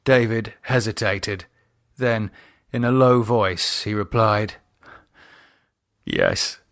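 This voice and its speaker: Guy narrating a horror audiobook, unhurried pace, close dry sound, medium pitch. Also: steady tone, a bit bright, no room sound. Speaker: author narrating his own novel.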